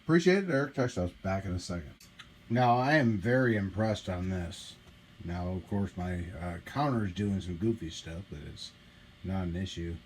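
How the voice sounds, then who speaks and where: A man with a low voice gives a casual hands-on demonstration as host of a repair channel. His delivery is informal and enthusiastic. There is light background noise from the workshop room. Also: normal speed, darkish tone. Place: small indoor home workshop. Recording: noisy room ambience on camera mic.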